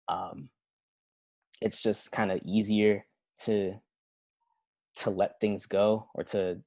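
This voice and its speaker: Flat low-voiced young man, hesitant. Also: no echo. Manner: reflective, hesitant, many ums and likes. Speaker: participant. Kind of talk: casual group discussion.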